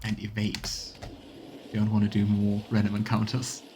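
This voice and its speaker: A man's voice: fairly low pitch, completely flat delivery, normal speed, darkish tone, a little hiss or hum. A man giving casual let's play commentary on a video game, musing aloud and trailing off as he goes. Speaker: streamer playing a video game.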